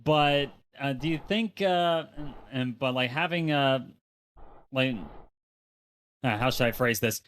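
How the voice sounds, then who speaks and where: A man's voice: medium pitch, takes it slowly, pauses often, a bit bright, smooth. A man co-commentating a race broadcast and asking long, rambling interview questions full of fillers. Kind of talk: sim racing broadcast commentary.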